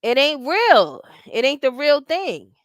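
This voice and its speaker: A woman's voice: sits high, sing-song, slow pace, no room sound. A woman in her forties hosting a casual livestream, talking in a blunt, profane and rambling way and reading out viewer comments. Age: 40s. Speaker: livestream host.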